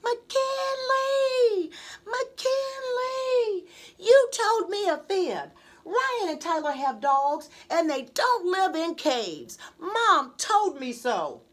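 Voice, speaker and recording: A woman's voice: very high voice, expressive, extremely slow, voice pushed up, heavily acted. A woman voicing a curious, wide-eyed little first-grade girl from a children's storybook, questioning and exclaiming. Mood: eager, indignant.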